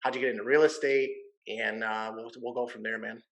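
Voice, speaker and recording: Male podcast host, bright voice, loose and friendly banter. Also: medium pitch, fairly even intonation, fairly quick, vocal fry, poor audio. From Michigan, USA. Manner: easygoing, jokey, says "man" and "you know" often. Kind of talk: casual interview chat.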